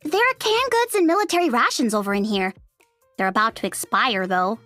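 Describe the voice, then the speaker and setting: A woman's voice: a little high, lively intonation, brisk, a bit bright, dry recording, faint music behind. A woman voicing a feisty, irritable young female android soldier in an anime game, brash and exasperated. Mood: exasperated, grumbling.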